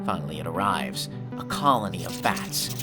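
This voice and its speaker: Animated, theatrical audio drama narrator. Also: sits low, moderate pace. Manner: vivid, theatrical. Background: music.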